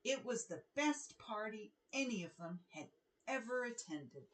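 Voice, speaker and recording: Slow, higher-voiced woman reading to children. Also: lively intonation, background noise. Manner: deliberate, dramatic storytelling to children. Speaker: children's author reading own book aloud. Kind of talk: expressive picture-book read-aloud.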